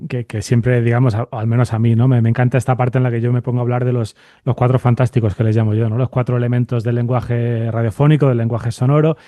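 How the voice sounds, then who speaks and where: Male podcast host, soft dark timbre, halting conversational delivery, dry recording. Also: medium pitch, flat delivery, dry recording. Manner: halting, repeats words while thinking aloud. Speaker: podcast host, university radio lecturer. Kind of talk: conversational interviewing.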